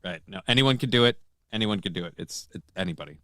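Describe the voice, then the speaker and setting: Wry, lively-voiced male co-host. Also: on the low side, quick pace, dry recording, clean studio sound. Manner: wry, teasing, repeats jokes. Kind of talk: casual comedic banter.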